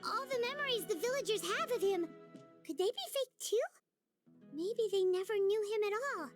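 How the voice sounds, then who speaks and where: A woman voicing a small, excitable floating girl companion in a video game, chatty and expressive. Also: moderate pace, music in the background. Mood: surprised. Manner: squeaky, expressive, speaks of herself in third person.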